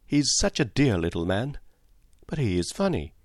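A man's voice: medium pitch, very animated, normal speed, no room sound, clean studio sound. A male narrator voicing a kind, candid young English woman who talks in an earnest, confiding, slightly plaintive way. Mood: bright, fond. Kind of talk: audiobook character voice.

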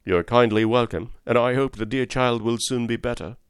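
A man's voice: mid-range voice, moderate pace, clean tone, no echo, close to an everyday voice. A working-class cab driver from a storybook, played by a male reader. He is fatherly and plain-spoken, firm yet kindly and reassuring. The recording is dry, with no room around the voice.

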